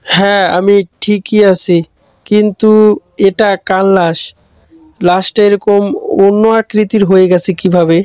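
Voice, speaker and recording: A man's voice: high-pitched, little pitch movement, a little slow, long pauses, a little mellow, clean tone, no room sound. A man voicing a grave, wary village imam in a cartoon ghost story, speaking solemnly. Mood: puzzled.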